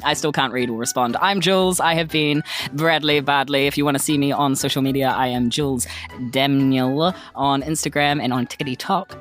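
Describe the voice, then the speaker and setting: A New Zealander with a medium-pitched voice chats casually as a podcast player. The delivery is dry and jokey. Music plays behind the voice. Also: fairly bright tone. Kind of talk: casual chat.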